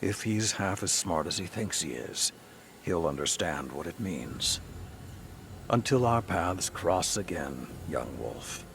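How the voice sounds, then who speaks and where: An actor playing a grave, honor-bound old warrior and mentor in a video game, gravelly, solemn and measured. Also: male voice, deep voice, animated, slight background noise, full character voice. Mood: solemn resolve. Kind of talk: dramatic video game cutscene acting. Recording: loud music under the voice. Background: music.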